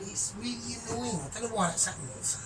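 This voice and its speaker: A man talking through his cooking in a rambling, grandiose, sweary way, often trailing off quiet. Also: high-pitched, expressive, fast, noisy background. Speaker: home cook vlogger. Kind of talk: casual cooking vlog. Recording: distant mic with music behind.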